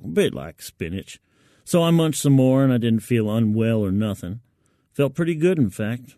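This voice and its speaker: A man's voice: medium pitch, mellow, no echo, studio quality. A man narrating a first-person story in a colloquial, wry, folksy manner. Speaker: fiction narrator.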